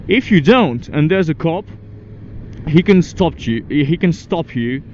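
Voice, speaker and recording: A man's voice: on the high side, lively intonation, darkish tone. Young man, French accent, motorbike vlogger, muffled lo-fi sound, faint traffic hum. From France. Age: young adult. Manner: halting, many restarts and fillers, swears at traffic. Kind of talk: casual commentary while riding. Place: on a motorcycle in city traffic outdoors. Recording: dull lo-fi helmet mic with faint traffic noise.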